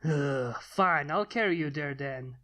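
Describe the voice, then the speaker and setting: A story narrator voicing an eccentric, gruff male inventor who talks in a formal, pompous, wry manner. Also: medium pitch, slow, sharp tone, pitched below the performer's own voice. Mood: exasperated.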